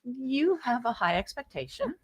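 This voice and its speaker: A woman's voice: high-pitched, big pitch swings, normal speed, husky. A woman in her late sixties, a stage actor, chatting casually and jokingly. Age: 60s. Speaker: theatre cast member.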